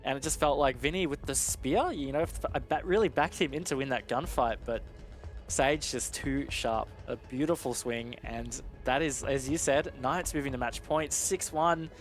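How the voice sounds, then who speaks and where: A man's voice: mid-range voice, normal speed, faint music behind. A man giving analytical live commentary on an esports match in a conversational, explanatory way. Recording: clear game noise, gunfire behind.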